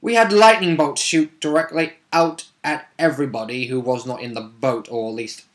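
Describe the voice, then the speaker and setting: A man's voice: fairly high pitch, fairly even intonation, moderate pace, fairly bright tone. A man casually recounting a tabletop game session, rambling along with wry, informal asides.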